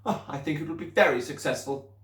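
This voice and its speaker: A hulking, hateful headmistress who bellows her scorn at children. A man raises his voice well above his usual to play her. Each tirade booms and rings in a roomy echo. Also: fast, fairly bright tone, natural voice. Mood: scornful. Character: huge, furious, bullying female headmistress.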